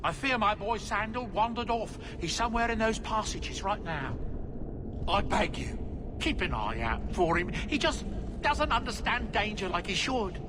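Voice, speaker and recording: Doting dwarf merchant dad, anxious, polite, lively swooping pitch, video game drama, rumbling noise behind. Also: male voice, moderate pace. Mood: pleading, worried. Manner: fretful, courteous, pleading.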